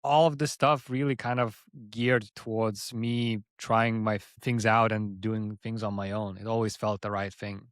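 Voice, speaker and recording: A man's voice: fairly low pitch, little pitch movement, a little slow, few pauses, clear, resonant tone, dry recording. Man in his thirties, close dry sound. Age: 30s. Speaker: interview guest, agency founder. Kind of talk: casual interview talk.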